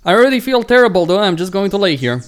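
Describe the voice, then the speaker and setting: A man's voice: sits high, big pitch swings, quick pace, pitched above the performer's own voice. A man voicing a weary, self-doubting young pro gamer's inner thoughts in a visual novel, brooding and questioning himself. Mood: sulky, reluctant. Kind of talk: visual novel read-aloud.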